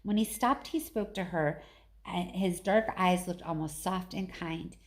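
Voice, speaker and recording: A woman's voice: on the low side, unhurried. Woman reading a children's novel aloud. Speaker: story reader. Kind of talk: expressive read-aloud storybook narration.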